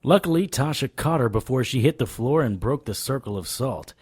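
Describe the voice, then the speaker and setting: A man's voice: medium pitch, animated, fairly quick, darkish tone, husky, no room sound, studio quality. An actor playing a cocky, wisecracking paranormal investigator in an audio drama, sarcastic and flippant as he talks and narrates. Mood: dry storytelling. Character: wisecracking, cocky male supernatural investigator narrating his case. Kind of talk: audio drama, first-person noir-style narration and dialogue.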